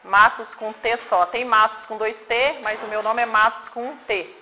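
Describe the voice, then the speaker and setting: A woman's voice: a little high, steady tone, moderate pace, bright, forceful tone, a little hiss or hum, poor audio. A woman in her forties from Paraná, telling her life story to a group of children in a simple, friendly way and often checking that they follow. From Paraná, Brazil. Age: 40s. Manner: simple explanations with frequent checks like "tá?" and "né?". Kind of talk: informal talk with questions from an audience.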